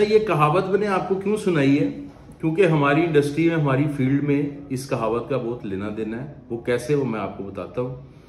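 A man's voice: mid-range voice, darkish tone, a little hiss or hum. A man in his forties with a Pakistani Urdu accent, seated at an office desk and earnestly explaining renovation advice to camera in a conversational way. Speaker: renovation company owner. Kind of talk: direct-to-camera advice talk. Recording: echoey room with faint ambience.

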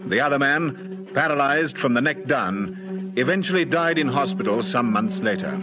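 A male narrator reads a factual radio drama script in a medium-pitched voice. Music is clearly heard behind him.

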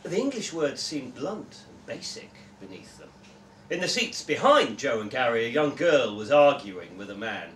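A man's voice: medium pitch, very animated, normal speed, a bit bright. A young man giving a live reading of a short story, narrating it to a room. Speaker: story reader at a literary festival. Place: indoor room before a live audience, recorded from several metres. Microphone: distant camera microphone. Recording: roomy echo, distant camera mic, faint noise.

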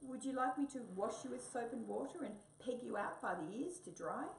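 A woman's voice: fairly high pitch, animated, fairly quick, creaky, voice pushed up. A woman voicing a grumpy, jealous male wombat who grumbles and scolds in a storybook reading. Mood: sheepish. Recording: roomy echo, distant mic, faint hiss.

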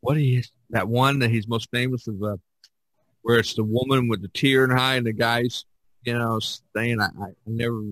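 A man hosting a podcast in casual chat, speaking haltingly and repeating his phrases. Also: medium pitch, fairly even intonation, a bit bright, dry recording, low-quality recording. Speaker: podcast host.

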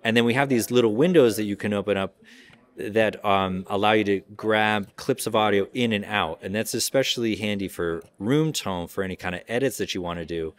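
Male demonstrator, lowish pitch, conversational and explanatory, informal product walkthrough. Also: a little fast. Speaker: audio software company representative giving a demo.

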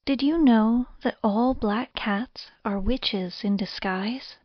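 Casting: woman as teasing young wife in Gothic audio drama, highish voice, unhurried lines, lo-fi sound. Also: no echo. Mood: playful, affectionate. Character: playful young wife fond of pets. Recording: dull, lo-fi recording.

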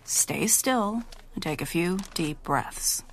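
An actress playing a kindly, flirtatious, busy nurse in a radio drama, chatty and soothing. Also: female voice, fairly low pitch, lively intonation, slow pace, slight background noise, low-quality recording. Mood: teasing, soothing.

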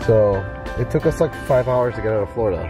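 A young man vlogging casually into a phone held at arm's length in a parked car, chatting in an animated, rambling way. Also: mid-range voice, fast, over music. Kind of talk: casual vlog chat.

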